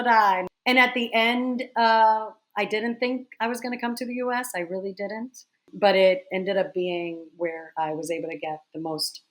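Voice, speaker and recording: A woman in her forties from the Dominican Republic, telling stories in a casual interview with quick, animated restarts. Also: mid-range voice. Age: 40s. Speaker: guest, theater director.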